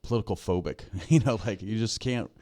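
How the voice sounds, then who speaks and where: A man's voice: fairly low pitch, fairly quick, darkish tone. A man hosting a podcast, chatting casually with his guest and using many fillers and backchannel replies. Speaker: podcast host. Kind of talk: casual interview chat.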